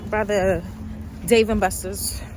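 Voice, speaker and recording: A woman's voice: mid-range voice, steady tone, takes it slowly, darkish tone, slight background noise. A woman vlogging her day out with her family, chatty and playful, talking to the phone camera while out at shops and in the car. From Maryland, USA. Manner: playful, chatty, laughing asides. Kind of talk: casual vlog narration. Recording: music playing behind the voice.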